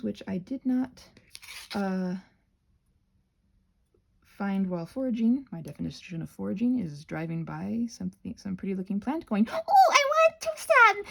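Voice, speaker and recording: A woman hosting a craft channel in a casual ramble, playful and whimsical, drifting onto tangents and talking to herself, with a slightly husky voice. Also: medium pitch, a little mellow. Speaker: host of a craft and ASMR channel.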